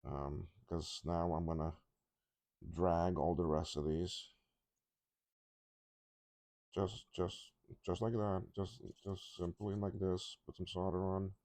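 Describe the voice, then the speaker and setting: A man talking casually through a soldering job at his workbench, halting and rambling, his voice a little congested from a cough. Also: low-pitched, flat delivery, warm tone, no room sound. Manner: rambling, halting, with many restarts and ums. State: congested, slightly rough from a cough. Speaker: electronics repair hobbyist.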